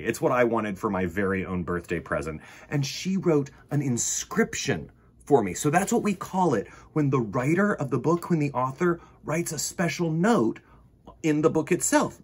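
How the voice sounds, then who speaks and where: A male storytime host with a husky voice and very lively intonation. He speaks directly to young viewers, enthusiastic and emphatic, moving between picture-book reading and chatty asides. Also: mid-range voice.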